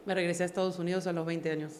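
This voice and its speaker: A woman's voice: on the low side, little pitch movement, racing, a little mellow. A woman, a podcast guest telling her story, hesitant and searching for words. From Argentina. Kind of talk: casual interview chat.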